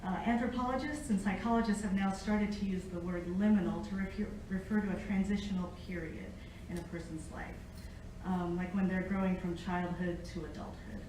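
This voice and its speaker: A woman's voice: mid-range voice, fairly even intonation, fairly quick, a little mellow. A middle-aged American woman giving an informal academic lecture, conversational and engaging her listeners directly. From United States, born in Japan. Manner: conversational, engages the audience with questions. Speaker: academic guest speaker, Latin researcher. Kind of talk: informal public lecture with personal storytelling. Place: echoey indoor lecture room. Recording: echoey room, distant mic, faint noise.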